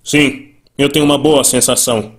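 A man's voice: medium pitch, steady tone, moderate pace, noisy background, close to an everyday voice. A man with a Brazilian accent playing a proud, grumpy warrior rival in an anime fan dub, curt and dismissive. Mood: proud irritation. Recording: loud music behind the voice.

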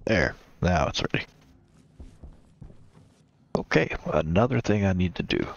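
A congested man with a deep, gravelly voice hosts a gameplay series, walking viewers through his projects in a relaxed way. He pauses often to fill in with uhs. The recording sounds slightly dull and lo-fi. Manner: relaxed, explains as he builds, many uhs. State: stuffed up, congested. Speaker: let's play host. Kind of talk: casual gameplay commentary.

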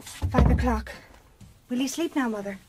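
An actress playing a gentle, wistful nun in a radio drama, soft-spoken and measured. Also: female voice, fairly high pitch, slight background noise, lightly acted. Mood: concerned.

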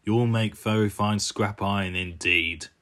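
A man's voice: lowish voice, steady tone, unhurried, fairly bright tone, pitched below the performer's own voice. A man voicing a gloating, menacing cartoon diesel engine with a sneer. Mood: gloating menace.

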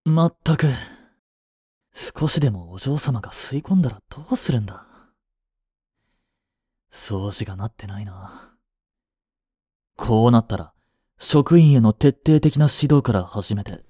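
A man acting a cool, haughty butler, slow and measured. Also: medium pitch, expressive, warm tone, husky, no room sound, studio quality. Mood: fussy, displeased. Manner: formal, polite, clipped, turning contemptuous toward a rival. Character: cool, devoted young male butler, haughty and jealous. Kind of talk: Japanese voice drama acting.

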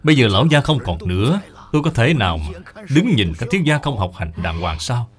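A man's voice: medium pitch, big pitch swings, brisk, close to an everyday voice. A man voicing a loyal old male servant in a cartoon, deferential and earnest. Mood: earnest, resolute.

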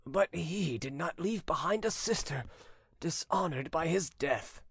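A man's voice: sits high, big pitch swings, measured pace, gravelly, slightly rough, no echo, voice pushed up, full character voice. A man voicing an anguished, tender old fisherman in his eighties in a dramatic audiobook, pleading and mournful. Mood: muffled grief. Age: 80s. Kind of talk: dramatic audiobook character reading.

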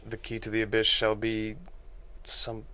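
A man's voice: fairly low pitch, completely flat delivery, measured pace, pushed and bright. A man reading a blunt, exasperated priest. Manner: blunt, incredulous. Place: small podcast studio.

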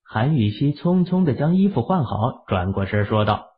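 A man narrating a serialized audio drama in an expressive storytelling manner. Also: medium pitch, moderate pace. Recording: tinny phone-like audio.

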